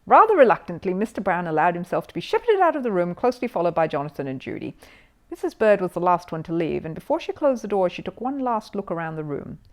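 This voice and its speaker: A woman narrating a children's storybook in an expressive, emphatic storytelling manner. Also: fairly low pitch, fast talker. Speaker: storyteller reading a children's book.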